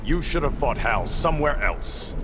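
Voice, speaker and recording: Man as proud game warrior king, stern, formal, defiant, animated pitch, loud music behind. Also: normal speed, natural voice. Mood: stern defiance. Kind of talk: video game voice acting.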